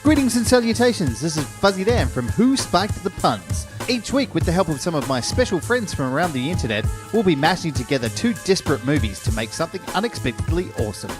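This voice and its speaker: A man hosts a scripted promo with a theatrical flourish. His voice is fairly high and very animated, with music clearly playing behind him. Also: quick pace, hardly any pauses. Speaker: podcast host.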